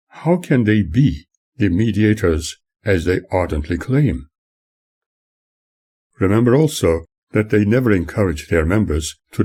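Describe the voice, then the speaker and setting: A man with a low, mellow voice reads a memoir aloud as its author. His delivery is formal and deliberate, with lively swings in pitch for emphasis, and the tone has a slightly husky edge. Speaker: author reading own memoir. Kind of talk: scripted audiobook narration.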